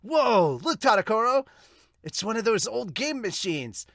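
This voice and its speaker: A man performs a brash teenage boy from a manga dub, excitable and blunt. The voice sits high and jumps around freely, recorded dry and close. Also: measured pace, no echo, exaggerated voice. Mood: excited. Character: brash, carefree teenage boy student chef.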